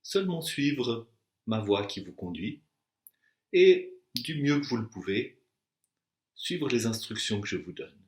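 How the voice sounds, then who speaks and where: A man teaching meditation, guiding a deep relaxation with slow, deliberate instructions and long pauses. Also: very animated. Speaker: yoga nidra and meditation teacher. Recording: echoey room sound.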